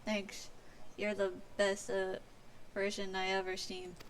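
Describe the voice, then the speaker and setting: A woman's voice: medium pitch, monotone, takes it slowly, slight background noise. A woman streaming a fantasy game, reading its dialogue aloud theatrically and stumbling now and then over words. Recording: music behind the voice.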